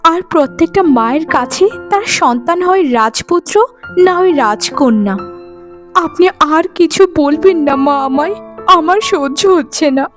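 A woman's voice: sits high, expressive, moderate pace. A woman voicing a meek, dutiful young village daughter-in-law in a cartoon, earnest and deferential. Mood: hurt. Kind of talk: cartoon voice acting. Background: music.

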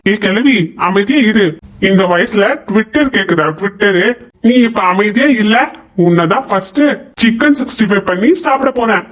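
A man's voice: high-pitched, animated, unhurried, fairly bright tone. A man playing a gluttonous, bullying eagle pirate henchman in a children's cartoon story. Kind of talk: Tamil children's animated story dub.

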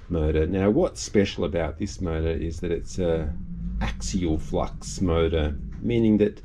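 An older man, casually explaining a project aboard his boat in an unhurried, halting way. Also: low voice, background noise. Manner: unhurried, halting with restarts and fillers. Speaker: boat owner and video maker. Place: cabin and engine room of a sailing catamaran.

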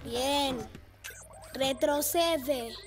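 An actor voicing an earnest young boy motorcycle, a protective big brother, in an animated children's dub. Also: high voice, extremely slow, over music. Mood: reluctant.

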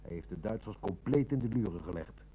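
Mellow-voiced, shrewd police inspector. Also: male voice, lowish voice, expressive, fairly quick, slightly rough, highs cut off. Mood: businesslike. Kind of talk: Dutch radio play acting.

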